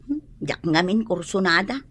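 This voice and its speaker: A woman's voice: fairly low pitch, animated, moderate pace, a bit bright, husky. A woman with a Filipino accent playing a playful, gossipy friend in a radio drama.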